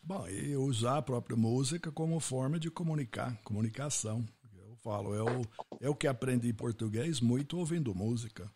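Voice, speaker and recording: A man with a husky voice, hosting the podcast and sharing his own stories in a reflective way. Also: mid-range voice, normal speed, background noise. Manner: reflective, anecdotal. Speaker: podcast host. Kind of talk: casual interview talk.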